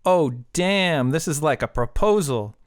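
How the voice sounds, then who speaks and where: A man voicing a brash, flippant young woman with slangy, excitable delivery in an audiobook story. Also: fairly high pitch, measured pace, no echo, clean studio sound. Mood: amused.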